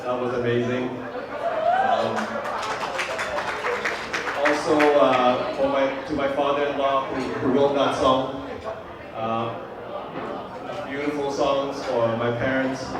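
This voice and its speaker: A Vietnamese-born man in his fifties, whose first language is Vietnamese, gives a halting, filler-heavy birthday speech to guests at a party. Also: medium pitch, wildly swooping pitch, heavy background noise. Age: 50s. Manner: halting, many fillers and restarts. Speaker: birthday host giving a speech. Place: large room at a party. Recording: echoey party room, mic far off. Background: crowd of guests.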